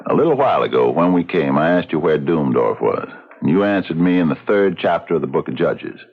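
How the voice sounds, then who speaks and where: Big slow-thinking pious sheriff, gravelly, voice rises and falls a lot, old tinny radio drama. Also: male voice, extremely low pitch, sing-song, exaggerated voice. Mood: firm questioning. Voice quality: gravelly, husky. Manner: measured, solemn, folksy. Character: big, deliberate, devout frontier lawman, grave and unhurried. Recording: tinny vintage radio recording.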